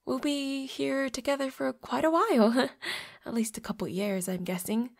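A woman's voice: high voice, steady tone, normal speed. A woman voicing a flirty, playfully predatory vampire roommate in an intimate audio roleplay, with a coy, teasing manner. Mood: friendly, playful. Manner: coy, giggly, teasing, drops into breathy whispers.

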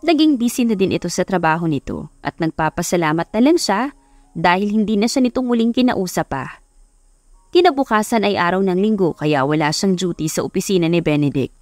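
A woman's voice: lowish voice, lively intonation, brisk, a little mellow, no room sound. A woman with a Filipino accent narrating a scripted romance story as an audiobook. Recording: music behind the voice. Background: background music.

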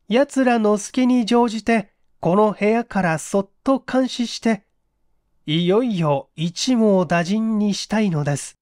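A woman's voice: medium pitch, darkish tone, dry recording, natural voice. Earnest male secret agent for an audiobook played by a woman: grave, polite, urgent, close dry sound. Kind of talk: dramatic audiobook reading.

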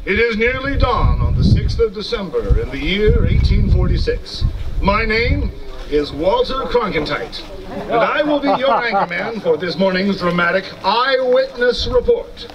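A man's voice: high voice, very animated, unhurried, muffled recording, a loud crowd. A man playing an old-time TV news anchorman, formal and declamatory, reporting outdoors at a historical reenactment. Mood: solemn, formal. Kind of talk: theatrical news reporting, reading from a script. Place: outdoor amphitheater in a park. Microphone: stand microphones, far camera. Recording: outdoor PA from far off, noisy.

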